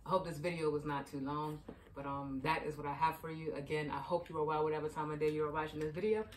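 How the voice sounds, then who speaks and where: A woman's voice: sits low, flat delivery, fast, distant mic, slight background noise. A young adult woman from Baltimore, a life coach chatting casually and telling stories about healing your inner child. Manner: chatty, storytelling, frequent "you know what I mean". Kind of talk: casual advice vlog.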